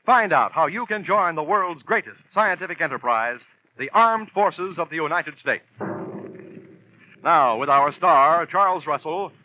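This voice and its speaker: A man's voice: fairly high pitch, expressive, unhurried. A man doing scripted announcing in the style of a 1940s radio broadcast. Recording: dull, faintly noisy old radio recording.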